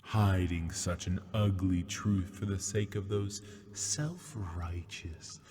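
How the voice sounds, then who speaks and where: A man playing a seductive, taunting demon prisoner in an ASMR roleplay, husky and breathy, teasing in mock-sweet tones and often sinking toward a whisper. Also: low-pitched, a little mellow, exaggerated voice. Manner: slow, teasing, mock-sweet, lingering on words. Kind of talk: ASMR roleplay, scripted monologue. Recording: long hall-like reverb.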